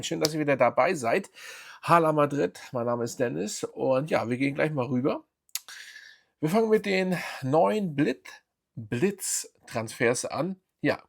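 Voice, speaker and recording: A man talking through football transfer news on his own channel in a loose, rambling way. His voice has a gravelly, creaky edge and sits at an ordinary pitch. He stops often to restart his sentences and fills the gaps with small hesitation sounds. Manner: rambling, many fillers and restarts. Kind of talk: casual fan commentary vlog.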